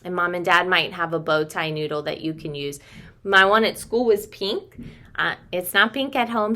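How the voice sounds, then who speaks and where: Woman preschool teacher in her 30s, takes it slow, simple words for little kids, doing a craft demo. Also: lowish voice, pushed and bright. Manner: simple, child-directed talk, addressing listeners as friends. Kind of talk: craft demonstration for young children. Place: small room at home.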